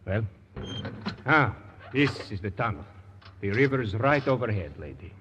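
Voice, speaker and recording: A plain-spoken, gruff tunnel worker from an old radio play. The recording is dull, with clear noise and a long reverb. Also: male voice, fairly low pitch, big pitch swings, normal speed, a bit bright. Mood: matter-of-fact. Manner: matter-of-fact, plain-spoken. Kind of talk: 1940s radio acting.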